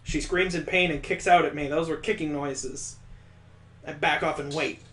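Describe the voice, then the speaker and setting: Man reading a game aloud, slightly high voice. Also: fairly even intonation, moderate pace, a bit bright, reverberant. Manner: dramatic reading broken by sarcastic comic asides. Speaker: let's play host. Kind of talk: reading a visual novel aloud with commentary.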